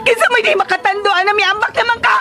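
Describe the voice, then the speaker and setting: An actress playing an excitable, flustered young female household helper in a radio drama, exclaiming and whining. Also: very high voice, very fast, soft background music. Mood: scolding. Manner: shrieking, exclamatory, whiny. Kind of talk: broad comic radio drama acting.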